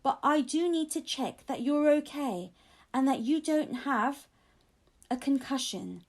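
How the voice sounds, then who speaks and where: Kindly storybook paramedic woman voiced by a female reader; gentle, caring reassurance in a high, lilting register. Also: lively intonation, measured pace. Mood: soothing.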